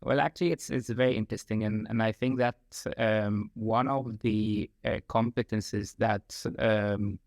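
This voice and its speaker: A man's voice: on the low side, fairly even intonation, normal speed, hardly any pauses, no room sound, clean studio sound. A man from Egypt, a guest talking about his work in casual interview talk, full of fillers and repeated phrases. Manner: frequent fillers, repeated "like, again". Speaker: guest, talent acquisition lead. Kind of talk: conversational interview.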